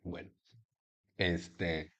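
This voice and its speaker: Mexican guy rambling on about stuff, lots of fillers and restarts, lowish voice, kinda dull home recording. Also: little pitch movement, extremely slow, a bit bright. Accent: Mexican Spanish. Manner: rambling, hesitant, many fillers and restarts, sarcastic asides. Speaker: video essayist. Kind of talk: casual commentary monologue.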